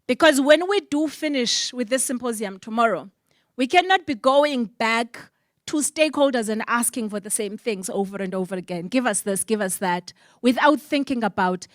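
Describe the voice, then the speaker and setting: A woman's voice: a little high, lively intonation, normal speed, no echo, studio quality. A middle-aged woman from the Eastern Cape with a South African accent, moderating a conference panel in an emphatic, passionate way, full of rhetorical questions. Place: symposium panel on stage.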